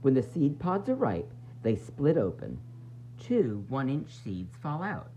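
Very animated man reading to young children. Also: mid-range voice, unhurried, a little hiss or hum, low-quality recording. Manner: dramatic emphasis with playful asides. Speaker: teacher reading a picture book aloud.

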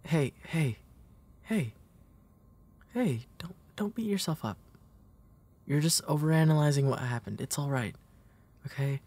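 Gentle, slow-talking big brother played by a man. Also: on the high side, animated. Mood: soothing, reassuring. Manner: hesitant, soothing, halting delivery. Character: gentle, protective, playful big brother comforting an anxious sibling. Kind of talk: intimate comfort roleplay audio.

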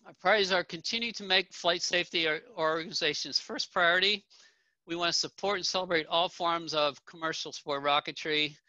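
A middle-aged man leads an online town hall from his home office, his very bright voice sitting a little high. He talks plainly, with ums and restarts along the way. The webcam sound is dull and dry. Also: steady tone, brisk. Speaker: association president presenting at a town hall. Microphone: video call webcam. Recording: dull, dry video call audio.

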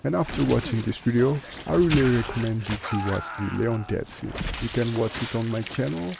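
A man's voice: medium pitch, a little fast, creaky, very noisy. A man reading scripted narration as a video creator.

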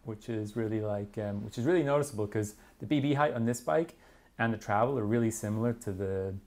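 A man's voice: lowish voice, fairly quick, a little mellow. A man in his thirties talking informally as a bike reviewer, often hedging with filler words like "like" and "kinda". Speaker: mountain bike magazine reviewer. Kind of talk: casual product review discussion. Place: small indoor studio workshop.

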